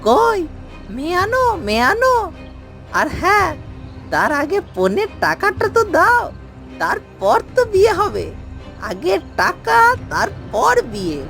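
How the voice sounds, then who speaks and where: A woman's voice: very high-pitched, huge pitch swings, takes it slowly, gravelly, fairly bright tone, soft background music, exaggerated voice. A woman voicing a fawning, sly male servant in a cartoon, with an obsequious, theatrical delivery. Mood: greedy, pushy. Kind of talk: exaggerated Bengali cartoon voice acting.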